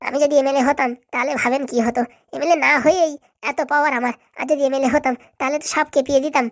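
A woman's voice: high-pitched, steady tone, fast, dry recording. A woman voicing a bossy, boastful, scheming female power broker in a cartoon, brash and commanding. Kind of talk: amateur cartoon voice acting.

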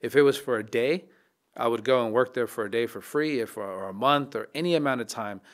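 A man in his thirties, a chef and podcast guest, talking in a reflective, casual way, often restarting his sentences, into a studio mic in a dark podcast studio. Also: mid-range voice, brisk. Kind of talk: casual interview talk. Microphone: studio mic on boom arm.

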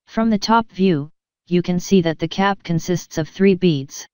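A woman giving a measured, even, scripted narration as an instructor, explaining technical material step by step. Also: fairly low pitch, clear, resonant tone, no room sound.

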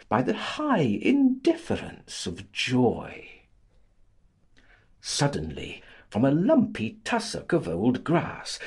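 A man narrating a literary audiobook in a theatrical, deliberate, scripted style, with a husky voice. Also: medium pitch, darkish tone.

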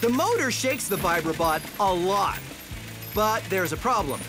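A man's voice: high voice, very animated, unhurried, music in the background. A middle-aged man hosting a children's science show with upbeat scripted narration.

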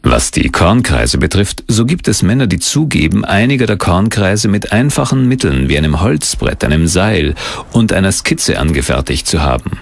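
A man's voice: low-pitched, sing-song, normal speed, gravelly. A man reading scripted documentary narration as the narrator. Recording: music under the narration. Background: background music.

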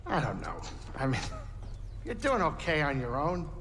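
A man playing an aging, gruff but warm-hearted alien pilot in a video game, with a folksy, expressive delivery. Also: a little high, normal speed, big-room sound, a little hiss or hum. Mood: casual, musing. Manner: hesitant, expressive, informal. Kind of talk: video game cutscene acting.

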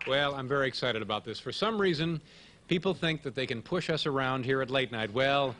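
A man's voice: mid-range voice, lively intonation, a little fast, background noise. A man in his thirties hosting a late-night comedy show, joking in a dry, mock-serious way at his desk in a TV studio. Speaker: talk show host. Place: TV studio stage before an audience. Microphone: desk microphone.

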